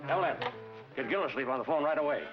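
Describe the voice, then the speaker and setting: An actor playing a suave, grasping, smug businessman in a 1940s comedy film, smooth-talking and effusive. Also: mid-range voice, quick pace, loud music behind the voice, lightly acted. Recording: muffled, noisy old film soundtrack.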